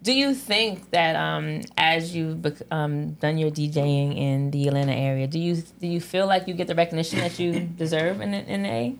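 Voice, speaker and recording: A woman's voice: deep voice, normal speed, hardly any pauses, slight background noise. A woman co-hosting a casual chat, often chiming in with agreement. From Georgia, United States. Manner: affirming, interjecting agreement. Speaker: podcast co-host, interviewer.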